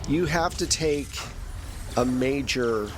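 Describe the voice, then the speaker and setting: A man's voice: medium pitch, slow pace, noisy background. A man narrating a tabletop role-playing game as game master, in a conversational, improvised way. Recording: loud music bed behind voice. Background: music.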